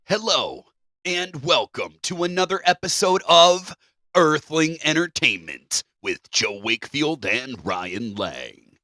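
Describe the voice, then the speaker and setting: A man riffs casually as a comedy podcast co-host. His voice is mid-pitched with a bright, forceful edge. It is recorded close and dry on a podcast mic. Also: sing-song, takes it slowly, no echo, soft background music.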